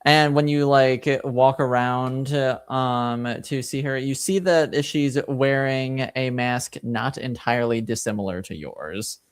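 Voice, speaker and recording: Smooth, halting male game master. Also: mid-range voice, no echo, studio quality. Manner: hesitant, halting, pieces descriptions together. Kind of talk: casual improvised tabletop game narration.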